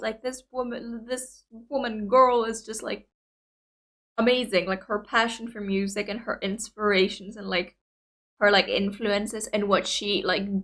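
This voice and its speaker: A young woman whose first language is Greek, hosting a reaction video in an excitable, chatty way full of fillers. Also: mid-range voice. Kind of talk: casual reaction chat.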